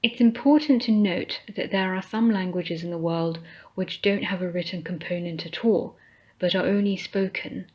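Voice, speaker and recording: Slow, husky British woman teaching. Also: on the low side, fairly even intonation, darkish tone. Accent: British English. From Britain. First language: English. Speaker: English teacher. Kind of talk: scripted lesson narration. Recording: dull lo-fi recording.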